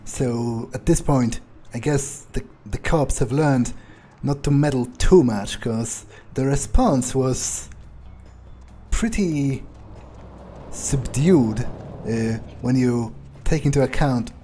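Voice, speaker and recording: A man's voice: medium pitch, slow pace, mellow. A man improvising in character as a cocky, callous vampire while playing a video game, drawling and scornful. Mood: callous, musing. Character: cocky, callous male vampire roleplayed by a gamer. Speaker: gamer roleplaying in a playthrough.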